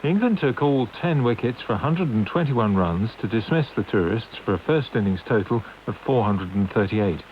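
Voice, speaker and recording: A man's voice: on the low side, animated, moderate pace, hardly any pauses. A man reading scripted news as a radio newsreader over a long-wave broadcast. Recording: dull long-wave radio with faint hiss.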